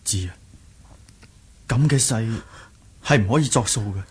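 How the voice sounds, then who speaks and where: A man's voice: medium pitch, measured pace, husky. A man with a Hong Kong Cantonese accent playing an earnest, defensive young martial-arts hero in a radio drama, pleading with emphasis. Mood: earnest, resolute.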